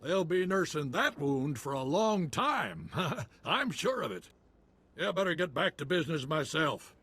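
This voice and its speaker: High-pitched, husky frontier commander. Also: male voice, sing-song, unhurried, fairly bright tone, heavily acted. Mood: pleased, grateful. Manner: folksy, clipped colloquial speech. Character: wry frontier militia commander resisting an empire. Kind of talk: video game voice acting.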